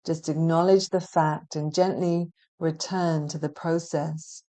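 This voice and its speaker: A woman with a fairly low, gentle voice guides a hypnotic relaxation session. Her tone is dark and mellow, and she speaks very slowly. Phrases come in a measured, soothing flow with long pauses between them. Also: fairly even intonation. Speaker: hypnotherapy practitioner guiding meditation. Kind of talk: guided relaxation meditation, hypnotic scripted narration.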